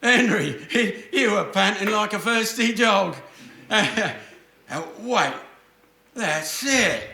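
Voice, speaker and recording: A man's voice: high-pitched, animated, slow, gravelly, sharp tone, exaggerated voice. A man playing a cantankerous, sardonic old father in a stage radio comedy, blustering and grumbling. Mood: indignant, baffled. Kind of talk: stage radio-play acting in old-fashioned domestic comedy. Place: theatre stage before an audience. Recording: roomy live theatre stage recording.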